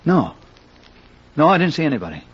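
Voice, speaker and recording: Flustered husky amateur detective, lively pitch. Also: male voice, fairly quick. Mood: sheepish. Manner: stammering, hesitant. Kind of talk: old-time radio mystery acting. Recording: dull old radio recording.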